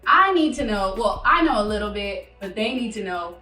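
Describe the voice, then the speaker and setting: A woman's voice: mid-range voice, lively intonation, moderate pace, pushed and bright, echoey room, recorded from a distance, faint music behind. A young woman hosting a kitchen talk show, speaking in a bubbly, enthusiastic way. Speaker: host. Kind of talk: casual chat. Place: home kitchen.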